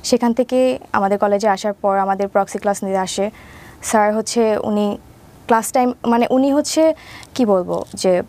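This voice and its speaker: A woman's voice: medium pitch, moderate pace, warm tone. Young Bangladeshi woman telling her story. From Mymensingh, Bangladesh. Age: around 20. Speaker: interview guest telling own life story. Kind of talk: conversational personal storytelling.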